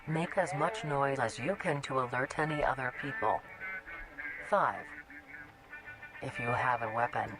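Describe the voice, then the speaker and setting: A man delivers a scripted public safety announcement. His medium-pitched voice is formal and instructional, set against very loud music. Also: measured pace, pauses often, rough recording. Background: loud background music.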